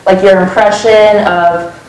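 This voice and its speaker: A woman's voice: medium pitch, steady tone, unhurried, a little mellow, far from the mic. A young woman interviewing a driver, asking casual friendly questions in a chatty, upbeat way. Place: office lounge, indoors. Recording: roomy echo.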